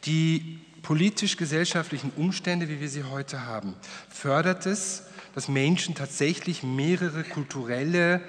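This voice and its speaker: Middle-aged male moderator, probing questions, table mic, reverberant hall. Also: on the high side. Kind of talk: panel discussion. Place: wood-panelled hall, indoors. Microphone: table microphone.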